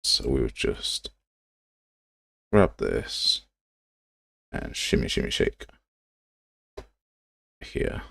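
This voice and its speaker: A man's voice: a bass voice, very animated, very slow, creaky, pauses often, fairly bright tone, no echo, clean studio sound. A man hosting a game development livestream, talking casually and thinking aloud as he works in a rambling, informal, self-deprecating way. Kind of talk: casual live tutorial, thinking aloud while working.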